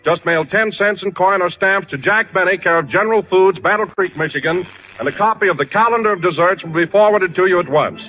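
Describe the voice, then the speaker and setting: A man's voice: sits high, a little fast, pushed and bright, faint music behind. A man announcing a scripted 1940s radio commercial in a polished, persuasive way. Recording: muffled 1940 radio broadcast.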